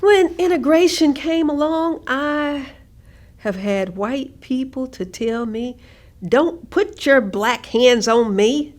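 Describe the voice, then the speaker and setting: Proud, defiant working mother played by an actress, high-pitched, very slow, deliberate emphasis, staged-reading monologue. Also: expressive. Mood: indignant, weary. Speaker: stage actress performing oral history.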